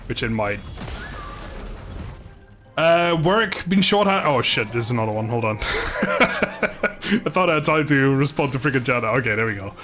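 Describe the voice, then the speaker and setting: A man's voice: mid-range voice, animated, measured pace, pauses often, a bit bright, soft background music. A man doing casual live game commentary, chatting informally with viewers, joking and swearing mildly. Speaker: game streamer.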